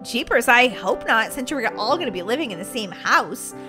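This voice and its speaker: A woman's voice: fairly high pitch, big pitch swings, moderate pace, over music. A woman voicing a kindly mother unicorn, teasing and playfully scolding, in a children's pretend-play story.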